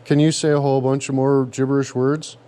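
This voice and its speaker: Sarcastic man with a mellow voice. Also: medium pitch, level tone, fairly quick. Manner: sarcastic, needling. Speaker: prank-playing vlogger. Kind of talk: casual mocking banter with a caller. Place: workshop garage, indoors.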